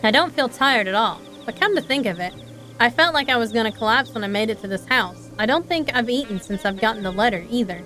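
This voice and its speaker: A woman's voice: fairly high pitch, lively intonation, fast talker, sharp tone, rough recording. A woman streamer casually commenting on a visual novel and reading its lines aloud. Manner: casual, playful asides. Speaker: streamer, VTuber. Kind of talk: live game commentary mixed with reading dialogue aloud. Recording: background game music.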